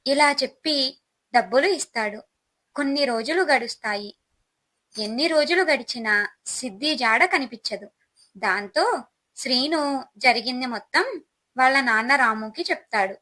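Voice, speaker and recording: A woman's voice: high-pitched, lively intonation, a little slow, long pauses, bright, forceful tone, low-quality recording. A woman narrating a scripted moral story as the storyteller.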